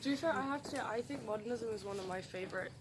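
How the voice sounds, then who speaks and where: A woman's voice: on the high side, racing. A young woman student chatting casually, peppering her talk with "like". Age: young adult. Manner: chatty, heavy use of "like". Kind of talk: casual chat. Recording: noisy background.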